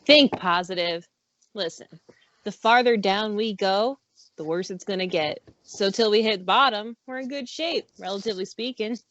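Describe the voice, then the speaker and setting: A woman's voice: medium pitch, normal speed, a bit bright, no echo, lo-fi sound, lightly acted. A woman playing a wry, fatalistic soldier in an amateur table read of a horror film script.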